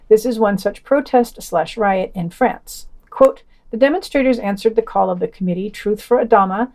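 A woman's voice: medium pitch, mellow. A woman podcast host reading a scripted news roundup, including quoted excerpts.

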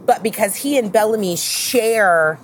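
A woman hosting a casual group discussion, thinking aloud in a halting way and repeating phrases as she reasons. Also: medium pitch, expressive, slight background noise. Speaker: podcast host.

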